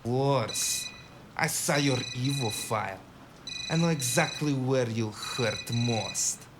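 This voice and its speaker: A man playing a desperate, threatening gunman in an audio drama, speaking deliberately like someone with nothing left to lose. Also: medium pitch, heavy background noise, close to an everyday voice. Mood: cold, suspicious.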